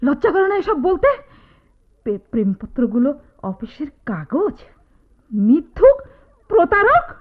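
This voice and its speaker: Bitter wife, high swooping voice. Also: female voice, very animated, unhurried, pauses often. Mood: furious. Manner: melodramatic, sarcastic. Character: bitter, accusing wife and mother confronting her husband. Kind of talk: Bengali radio drama acting. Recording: tinny lo-fi radio play.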